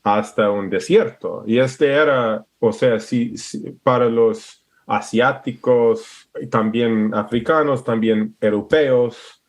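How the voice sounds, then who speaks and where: A man with an American English accent speaks Spanish slowly and at a middle pitch. He talks as a guest in a relaxed conversation. He keeps breaking off and restarting while he searches for the right word. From Alaska, USA. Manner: hesitant, searching for words with many restarts. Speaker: podcast guest. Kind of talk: casual interview conversation.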